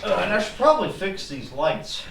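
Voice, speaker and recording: A man's voice: high voice, big pitch swings, moderate pace. A man giving casual, hands-on how-to narration in a wry, self-deprecating, folksy way while working on a car. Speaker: DIY car repair video host. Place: garage workshop. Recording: echoey, distant mic, clearly noisy.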